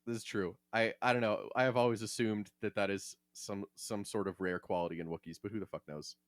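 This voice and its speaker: A man's voice: on the low side, fairly even intonation, fairly quick, dry recording, clean studio sound. A man co-hosting a podcast, chatting casually in a wry way with frequent swearing. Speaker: podcast co-host.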